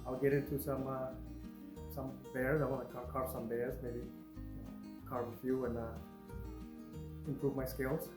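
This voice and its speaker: A man's voice: medium pitch, little pitch movement, measured pace, long pauses, over music. Hesitant man with Polish-Boston accent. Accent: Polish mixed with Boston. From New Hampshire. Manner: hesitant, many fillers, easygoing. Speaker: carving student. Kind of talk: casual interview answers.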